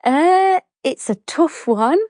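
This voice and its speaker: A woman actor answering interview questions in a chatty, enthusiastic way with quick asides. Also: high voice, expressive, a little mellow, dry recording, studio quality.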